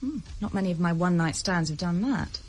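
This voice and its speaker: A woman's voice: lowish voice, expressive, a little fast, a little mellow. An actress playing a witty, flirtatious female cellist in a radio drama, with a wry, teasing manner.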